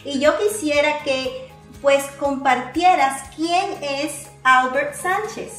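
A woman's voice: high voice, takes it slowly, fairly bright tone. A middle-aged woman, hosting a radio interview program. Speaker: radio show host. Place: small indoor set with curtains. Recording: roomy sound with music behind.

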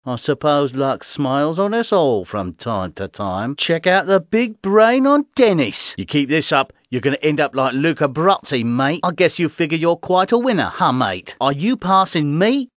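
A man's voice: very animated, moderate pace, hardly any pauses, dry recording. An actor voicing a cocky British street racer with gangster swagger in a video game, taunting, sardonic and mock-polite in his menace. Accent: British English. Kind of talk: video game character voice clips. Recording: muffled low-fidelity game audio.

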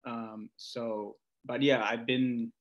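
A man from Argentina speaks as a musician guest in an interview. His pitch is medium for a man. He talks informally, in a loose storytelling way, with frequent little conversational asides. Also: level tone, slow, dull audio. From Argentina, Buenos Aires. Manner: informal, talks in stories, often says "like" and "you know". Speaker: guest musician, singer-songwriter. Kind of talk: casual interview chat.

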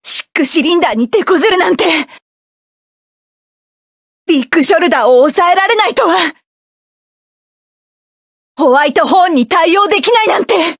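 High, dry game voice: fierce proud young woman pilot, commanding battle shouts. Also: lively intonation, moderate pace, long pauses, no echo. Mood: defiant. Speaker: mecha pilot character. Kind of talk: video game battle voice lines.

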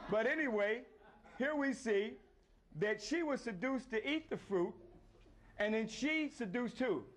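A man in his fifties teaching a group outdoors by an ancient temple wall, often pausing to quiz his listeners for answers. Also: very high voice, animated, slight background noise. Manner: questions the group to draw answers. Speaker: lecturer leading a tour.

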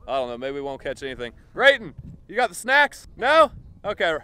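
A man guiding anglers on a flats boat, coaching them with urgent, excited calls. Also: fairly high pitch, brisk, clean tone. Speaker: fishing guide and host. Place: open flats boat outdoors. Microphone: action camera on the boat. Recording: loud wind and boat noise.

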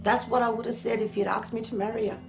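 Blunt Australian woman, high voice. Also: steady tone, fast talker, vocal fry. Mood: tender. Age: middle-aged. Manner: blunt, swearing, colloquial. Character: blunt, sharp-tongued, tender-hearted middle-aged Australian woman. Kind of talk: naturalistic film acting. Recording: music laid over the dialogue.

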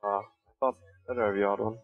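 A man's voice: on the low side, fairly even intonation, fast talker, breathy edge, no room sound, narrow, tinny audio, faint music behind. Young man streaming, swearing as he plays. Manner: swears, thanks subscribers, jokey. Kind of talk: casual gameplay streaming.

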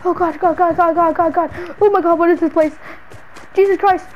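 A female gamer with a high voice improvises a role-play scene. The talk is slangy and exclamatory, played up for drama. There is light noise in the recording. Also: steady tone, fairly quick, muffled recording. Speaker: gamer narrating a role-play short film. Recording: noisy home gaming recording.

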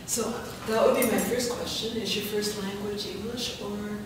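A woman's voice: mid-range voice, steady tone, normal speed. A woman in the audience asking an eager, rambling question. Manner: eager, rambling, tumbling phrases. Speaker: audience member. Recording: distant voice in an echoey hall, noisy.

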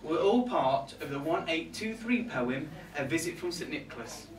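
A man's voice: on the high side, lively intonation, normal speed, far from the mic, close to an everyday voice. A young man playing a catty, resentful reindeer in a comic monologue, indignant and gossipy, with arch asides to a live audience. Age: young adult. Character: catty, resentful male reindeer grumbling about Santa's crew. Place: small pub room with a live audience. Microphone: far camera. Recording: echoey room from afar, background noise.

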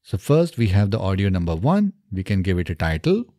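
A man in his thirties narrating a step-by-step software tutorial in a methodical, instructional way, close on a studio microphone. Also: low-pitched, big pitch swings, brisk, no room sound. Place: small indoor home studio. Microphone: close studio microphone on boom arm.

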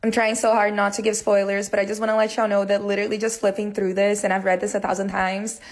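A woman's voice: medium pitch, level tone, fast. Enthusiastic Brazilian-accented young woman, echoey room. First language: Portuguese. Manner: enthusiastic, earnest. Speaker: content creator. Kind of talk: casual book recommendation to camera. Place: indoor room. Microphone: phone camera at arm's length.

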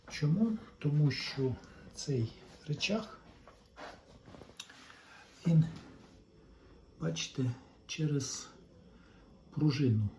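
A man's voice: mid-range voice, mellow. A man giving casual hands-on repair commentary, thinking aloud hesitantly as he works. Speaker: repair hobbyist presenting his own restoration. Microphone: handheld camera mic. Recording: dull sound with faint background noise.

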